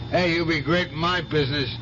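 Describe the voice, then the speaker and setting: An actor playing a gruff but good-natured working-class truck driver in a radio drama, blunt and folksy in his talk. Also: fairly high pitch, close to an everyday voice. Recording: muffled, noisy old radio broadcast.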